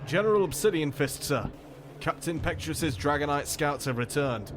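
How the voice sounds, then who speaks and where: A man's voice: fairly high pitch, lively intonation, normal speed, noisy background, pitched above the performer's own voice, lightly acted. A man voicing a dutiful army captain in a fantasy audiobook, deferential and soldierly as he speaks. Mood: dutiful, urgent. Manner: deferential, military.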